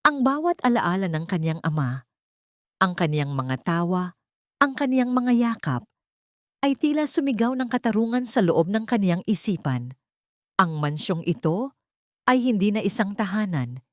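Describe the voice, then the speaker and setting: A woman's voice: mid-range voice, big pitch swings, moderate pace, pauses often, no room sound, studio quality. A woman narrating a dramatic scripted story in a solemn, suspenseful way.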